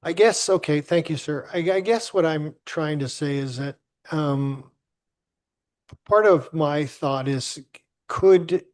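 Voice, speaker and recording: A man serving as mayor, chairing a council meeting in a halting, polite way and often searching for words. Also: fairly high pitch. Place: council chamber.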